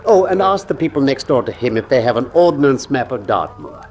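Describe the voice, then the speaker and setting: Incisive English gentleman detective, played by a man, lively intonation. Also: medium pitch, a little fast, soft background music, close to an everyday voice. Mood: companionable. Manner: probing, crisp questioning, formal. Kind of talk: radio drama acting.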